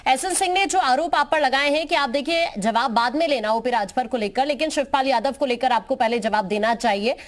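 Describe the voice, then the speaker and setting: A woman anchors a live television debate. Her voice is high-pitched as she hands over between guests and puts questions to them. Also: a little fast, hardly any pauses. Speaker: news anchor.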